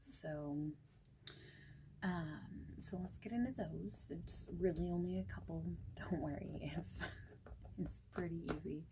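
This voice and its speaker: Woman, low creaky soft voice, slow informal ASMR roleplay as a reassuring boss. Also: background noise. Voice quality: soft, breathy, often dropping toward a whisper. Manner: informal, reassuring, rambling chat. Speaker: ASMR creator playing a friendly boss in a job interview roleplay. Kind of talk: ASMR roleplay, soft speaking with whispering, improvised.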